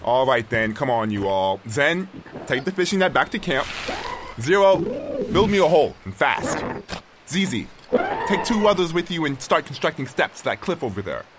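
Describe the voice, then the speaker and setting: A man playing a proud, bossy castaway in an audio drama, speaking haltingly in a haughty, grudging way to his companions. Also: a little high, big pitch swings, noisy background. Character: proud stranded male castaway, bossy, grudging, talking to creatures. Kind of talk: dramatic audio fiction monologue, survival log.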